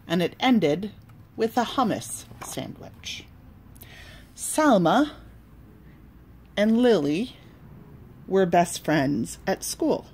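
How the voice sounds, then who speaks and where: Slow-reading woman with a lowish voice. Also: slight background noise, rough recording. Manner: expressive, deliberate, pausing between phrases. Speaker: pastor reading a children's storybook. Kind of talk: storybook read-aloud with asides.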